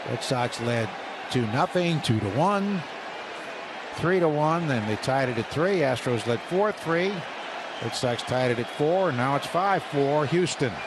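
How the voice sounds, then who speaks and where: A man calling live baseball play-by-play on the radio, building up on big plays and reading stats between pitches. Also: animated, a little slow. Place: stadium broadcast booth. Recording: radio broadcast with loud crowd noise.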